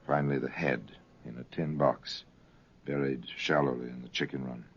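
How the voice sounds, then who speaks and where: A man narrating a dramatic old radio crime series in a grave, deliberate storyteller's manner. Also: rumbling low, fairly even intonation, very noisy. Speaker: narrator and host. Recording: dull vintage radio recording, loud music behind.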